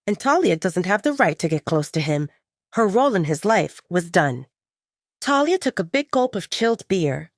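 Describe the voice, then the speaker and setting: A woman reading a scripted story as an audiobook narrator, with an expressive, dramatic storytelling delivery. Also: on the low side, dry recording, clean studio sound.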